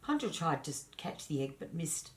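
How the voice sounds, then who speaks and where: A woman with a low-pitched voice narrates a children's story. Her reading is scripted, expressive and deliberate, in the manner of a storyteller.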